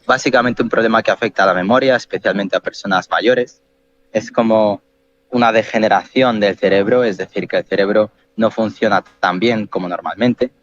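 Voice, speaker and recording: A man hosting a podcast, calmly explaining ideas step by step with examples. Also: on the low side, moderate pace. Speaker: podcast host. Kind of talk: explanatory casual chat. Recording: dull, muffled sound.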